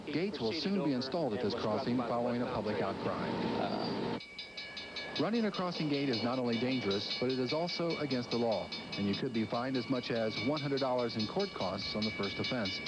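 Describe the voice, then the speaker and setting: A man's voice: mid-range voice, animated, brisk, over loud music. A man narrating a scripted public affairs television news segment, recorded on a noisy old VHS tape.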